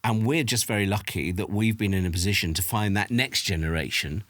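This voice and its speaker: A man's voice: on the low side, a little fast, a bit bright, no echo. A man giving his opinions emphatically and conversationally in a documentary interview.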